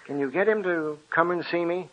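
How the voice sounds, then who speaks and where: An actor playing a genial older family doctor in a 1940s radio drama, avuncular and reassuring. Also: male voice, a little high, brisk, slight background noise, close to an everyday voice. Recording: tinny, narrow old radio recording.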